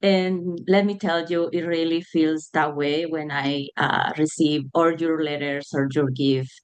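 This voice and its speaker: A woman who is an international student giving a grateful thank-you message, pausing with fillers as she goes. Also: deep voice, steady tone, smooth. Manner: warm and grateful, halting with fillers.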